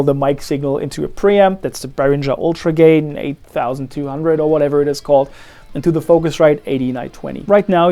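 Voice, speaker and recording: A young man with a fairly high yet mellow voice walks through his guitar gear. His delivery is casual and technical, relaxed and friendly, with light self-deprecating asides. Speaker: guitarist and channel host. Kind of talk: casual explanatory gear vlog.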